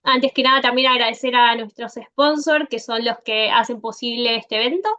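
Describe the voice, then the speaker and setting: A woman's voice: fairly high pitch, fairly even intonation, moderate pace, sharp tone, dull audio. A woman from Argentina with an Argentine accent, giving a conference talk as a consultant in a conversational way, with frequent "eh" fillers. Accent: Argentine Spanish. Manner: conversational, many "eh" fillers, addresses the audience directly. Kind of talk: online conference presentation.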